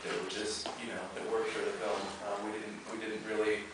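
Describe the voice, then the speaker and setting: A man speaking casually and haltingly as a film director answering questions, often repeating himself. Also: fairly low pitch, monotone. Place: movie theater, audience present. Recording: very echoey theater, distant mic, noisy.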